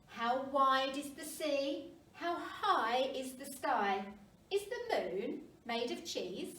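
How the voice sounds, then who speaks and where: Woman reading a kids story, slow and sing-song, echoey room, bit far from mic. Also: high-pitched, animated, fairly bright tone. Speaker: primary school story reader. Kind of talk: expressive children's storybook narration. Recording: roomy, distant mic, faint noise.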